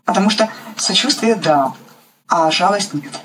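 Halting woman with faint noise behind. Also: lowish voice, a bit bright. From Ukraine. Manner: halting, searching for words. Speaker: guest playwright. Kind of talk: reflective interview talk.

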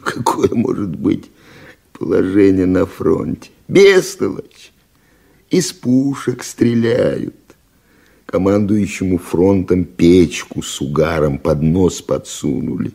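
A cold, bitter general. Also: male voice, low-pitched, expressive, slow, gravelly, mellow, slightly rough, full character voice. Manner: curt commanding orders, bitter sarcasm. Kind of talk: archival radio play acting.

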